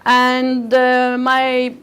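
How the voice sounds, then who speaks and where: A woman's voice: sits high, completely flat delivery, drawn-out words, fairly bright tone, smooth. A woman in her forties, a native Turkish speaker from Bulgaria, telling a personal story at an event with hesitant fillers and restarts. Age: 40s. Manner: hesitant, frequent fillers and restarts, laughs often.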